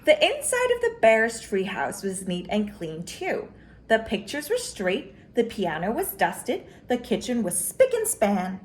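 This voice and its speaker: A woman's voice: a little high, wildly swooping pitch, a little slow. A woman reading a children's picture book aloud as a storyteller, expressively, drawing out key words for emphasis.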